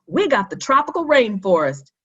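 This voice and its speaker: A woman's voice: a little high, very animated, normal speed, a bit bright, breathy edge, dull audio, voice pushed up, heavily acted. A woman voicing a friendly, cheerful schoolboy who encourages teamwork in a children's storybook. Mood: excited. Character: friendly, cooperative schoolboy, cheerful team player.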